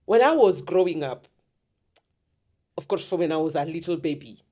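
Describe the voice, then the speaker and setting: Deep-voiced talkative woman. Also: lively intonation, moderate pace, mellow, slight background noise. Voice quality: deep, heavy voice. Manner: talkative, storytelling, mixes in Swahili words. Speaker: podcast host and therapist. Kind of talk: casual conversational interview.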